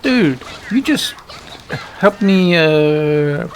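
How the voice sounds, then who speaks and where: A man's voice: fairly high pitch, animated, extremely slow, a little hiss or hum. A man giving casual, off-the-cuff gameplay commentary on a headset mic, muttering short, loose remarks to himself. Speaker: gaming video host.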